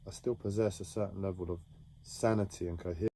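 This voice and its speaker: A man talking slowly and haltingly about his own experiences in a reflective monologue, with many pauses and restarts. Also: low-pitched, fairly even intonation, dark tone. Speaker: livestreamer sharing a personal story. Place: home.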